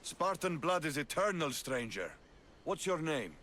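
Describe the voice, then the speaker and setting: An actor playing Thaletas, a proud, blunt Spartan commander in a game cutscene. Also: male voice, on the high side, very animated, measured pace, pushed and bright, in a large hall, a little hiss or hum, exaggerated voice. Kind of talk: video game cutscene acting.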